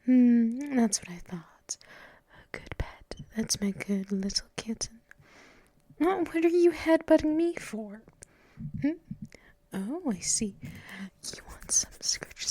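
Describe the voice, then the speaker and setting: A woman playing a doting, teasing, gently dominant mistress in an ASMR roleplay, breathy and mostly whispered, cooing close to the microphone. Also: a little high, full character voice. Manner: slow, cooing, teasing, drawn-out pauses and hums. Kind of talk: unscripted ASMR roleplay, addressed to the listener.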